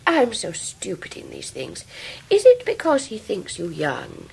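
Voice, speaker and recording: A sly English gentlewoman in a radio play, feigning innocence. She speaks slowly in a creaky voice with big swings of pitch, probing as she goes. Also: huge pitch swings, exaggerated voice, low-quality recording. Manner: probing, falsely humble questions. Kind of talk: 1990s radio drama acting.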